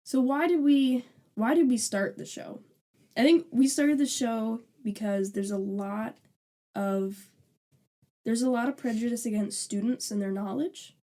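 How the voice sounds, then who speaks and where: A woman's voice: on the high side, warm tone. A woman in her twenties, a student co-hosting a podcast, chatting casually and joking with a laugh. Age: 20s. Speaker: podcast co-host, alternative medicine student. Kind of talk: casual chat.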